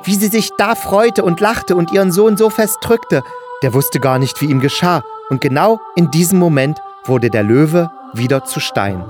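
A man's voice: on the high side, lively intonation, normal speed, over loud music. A man giving scripted narration of a fairy tale for children.

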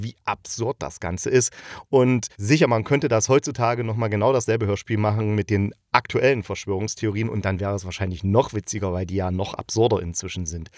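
A man's voice: on the low side, no room sound, clean studio sound. A man hosting a podcast, giving a casual, unscripted review in a rambling, colloquial and enthusiastic way, jumping between asides. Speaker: podcast host reviewing audio dramas.

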